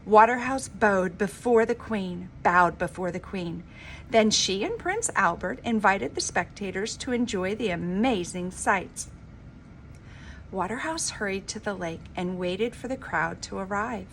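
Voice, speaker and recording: A woman reading a children's storybook aloud like a teacher, with an expressive, emphatic delivery. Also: medium pitch, unhurried. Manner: dramatic, emphatic delivery with informal asides. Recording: clearly noisy homemade recording.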